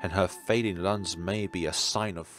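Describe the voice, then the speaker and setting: A man's voice: deep voice, steady tone, normal speed, over music. A man reading a visual novel's scripted narration and dialogue aloud. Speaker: video game player reading the story aloud.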